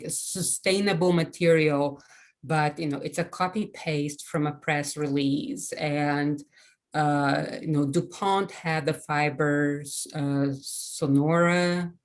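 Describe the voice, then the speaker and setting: A low-voiced woman speaks slowly and deliberately, an attendee on a video call. She poses a skeptical, probing question to the speaker.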